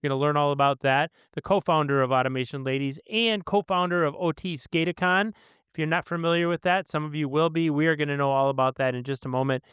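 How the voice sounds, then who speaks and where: A man's voice: a little high, steady tone, fast, a bit bright, clean tone, no room sound, clean studio sound. A man hosting a podcast, introducing and interviewing his guest in an effusive, conversational way. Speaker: podcast host. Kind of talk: interview introduction with personal anecdote.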